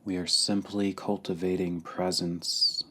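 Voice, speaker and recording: Slow, low-pitched man guiding meditation. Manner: calm, deliberate, pausing between short phrases. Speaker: meditation guide. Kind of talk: guided meditation, slow and spacious.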